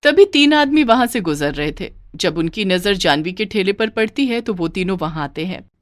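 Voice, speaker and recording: A woman's voice: medium pitch, lively intonation, normal speed, no room sound. Woman narrator, dry close storytelling voice.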